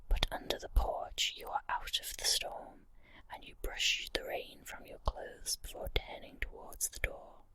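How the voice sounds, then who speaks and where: Whispering woman narrating slowly. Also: sharp tone. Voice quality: breathy unvoiced whisper. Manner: slow, deliberate, short phrases with pauses. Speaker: ASMR narrator. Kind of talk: whispered ASMR guided visualization, scripted second-person story narration.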